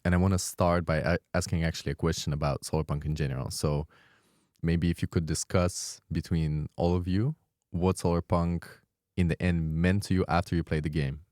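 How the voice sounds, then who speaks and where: A man's voice: low-pitched, steady tone, a little fast, darkish tone, no room sound, clean studio sound. A man hosting and moderating a casual podcast round-table with questions for guests. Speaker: host, discussion moderator.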